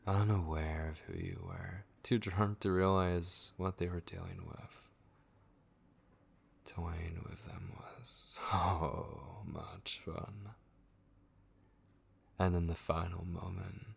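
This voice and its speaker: A man playing a sinister, obsessive voice in a horror ASMR roleplay, breathy and often whispering, taunting a captive vampire with theatrical menace. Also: sits low, exaggerated voice.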